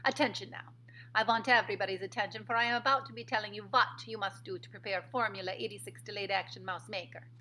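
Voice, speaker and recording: Woman reader playing a cruel witch leader, storybook voice, haughty commands, bright and forceful. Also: mid-range voice, steady tone, quick pace, slight background noise, lightly acted, low-quality recording. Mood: imperious. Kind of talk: storybook reading aloud.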